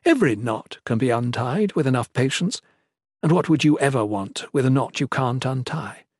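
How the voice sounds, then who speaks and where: A man's voice: medium pitch, expressive, moderate pace, darkish tone, slightly rough, dry recording, studio quality. A man voicing a fussy, exasperated young acolyte with prim, educated, scolding speech in an audiobook. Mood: disputing. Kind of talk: audiobook character voice.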